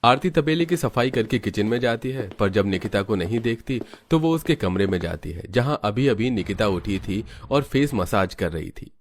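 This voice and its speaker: A man's voice: fairly low pitch, animated, moderate pace, hardly any pauses. A man narrating a scripted family cartoon story.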